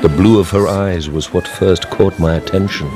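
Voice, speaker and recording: A man acting a vengeful, haunted count in radio drama. His voice is deep and controlled, courtly in phrasing and quietly intense, with prominent music behind it. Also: steady tone, natural voice. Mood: courtly, guarded. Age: middle-aged. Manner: measured, formal, quietly intense.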